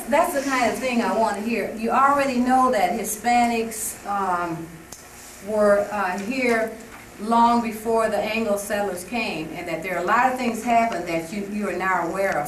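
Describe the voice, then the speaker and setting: A woman teacher runs her class with a firm, directive manner, prompting students with quick questions. She is heard from a distance across a roomy, echoing classroom. A faint hiss sits under the recording. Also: medium pitch. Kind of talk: leading a classroom discussion. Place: school classroom.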